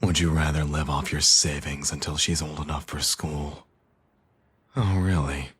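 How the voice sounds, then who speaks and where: A man's voice: very deep voice, fairly even intonation, unhurried, a bit bright, breathy edge, no echo, exaggerated voice. A man playing a cocky villain in an intimate audio roleplay, with a teasing, smug, flirtatious drawl. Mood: persuasive, coaxing.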